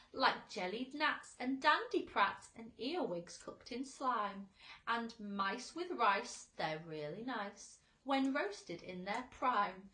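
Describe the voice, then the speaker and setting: A woman's voice: mid-range voice, bright, forceful tone, roomy sound, heavily acted, low-quality recording. A woman voicing a boastful, exuberant storybook centipede who declaims in rhythm. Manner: declamatory, rhythmic verse delivery. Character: boastful, exuberant, greedy centipede reciting a food song.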